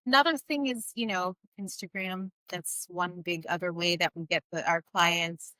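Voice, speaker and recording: Swahili-native woman, bright voice a bit low, matter-of-fact, dry close sound. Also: steady tone, moderate pace, no echo, low-quality recording. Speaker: tour business co-founder. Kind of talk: conversational interview answers.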